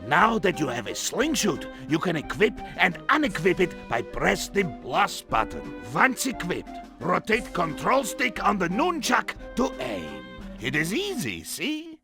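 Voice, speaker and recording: Gravelly, eccentric showman. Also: sits high, wildly swooping pitch, unhurried, music in the background, full character voice. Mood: instructive. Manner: theatrical, clipped broken English. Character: eccentric foreign man, theatrical, friendly showman neighbor. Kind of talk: video game cutscene voice acting with tutorial instructions.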